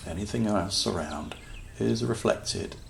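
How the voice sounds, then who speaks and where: A man leading a guided meditation in a calm, soothing manner, leaving long pauses between short phrases. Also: on the low side, vocal fry, a little hiss or hum. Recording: nature ambience with birdsong behind voice. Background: natural sounds, birds.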